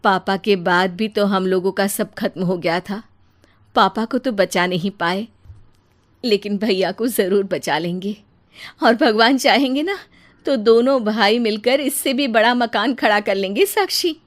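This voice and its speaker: A woman's voice: mid-range voice, measured pace, lightly acted. A woman voicing a kind, protective elder sister-in-law in a cartoon moral story, reassuring and caring.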